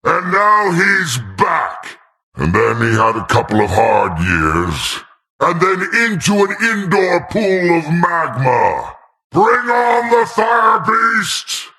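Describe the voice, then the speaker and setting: A man's voice: sits high, sing-song, slow pace, bright, forceful tone, heavily acted. A man voicing a manic, gleeful, taunting fiery dungeon master in a video game, with theatrical emphasis. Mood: gleeful menace. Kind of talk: video game character voice lines.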